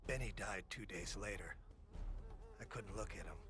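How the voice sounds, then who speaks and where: An actor playing a vengeful, broken war veteran driven insane, narrating a dark video game monologue in a grim, breathy growl. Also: male voice, lowish voice, moderate pace, close to an everyday voice. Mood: grim, haunted. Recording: loud music under the voice.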